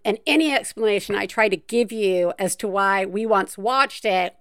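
A woman from the Deep South hosting a podcast, chatting casually with lots of fillers and laughs. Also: moderate pace, clear, resonant tone, no room sound, clean studio sound. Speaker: podcast host. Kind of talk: casual interview chat.

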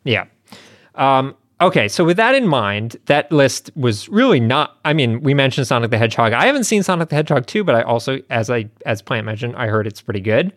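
Man co-hosting, mid-pitched and dry. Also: very animated, fairly quick, a bit bright, no room sound. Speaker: podcast co-host. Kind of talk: casual discussion, posing questions.

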